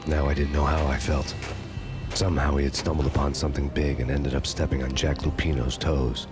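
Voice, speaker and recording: A deep, deadpan noir cop. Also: male voice, brisk. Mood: grim. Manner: flat, dry, cynical deadpan. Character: weary hardboiled male cop narrating, grim. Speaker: narrator and hero of the game. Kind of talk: noir game narration. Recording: game soundtrack music behind.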